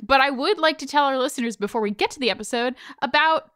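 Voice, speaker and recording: A woman's voice: high-pitched, rapid-fire, fairly bright tone, no room sound, clean studio sound. A woman co-hosting a podcast, chatting casually with her guest and listeners. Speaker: podcast co-host. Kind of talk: casual chat.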